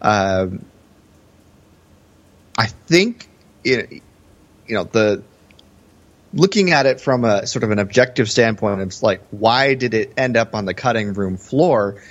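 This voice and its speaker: A man's voice: lowish voice, expressive, slow, long pauses. A man co-hosting a podcast, giving casual analysis of a film. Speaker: podcast co-host. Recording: dull, lo-fi sound.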